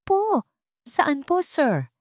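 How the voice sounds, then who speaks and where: A woman's voice: high-pitched, huge pitch swings, very slow, dry recording, clean studio sound, pitched above the performer's own voice, exaggerated voice. A woman voicing a shy, timid young maid who speaks hesitantly and deferentially.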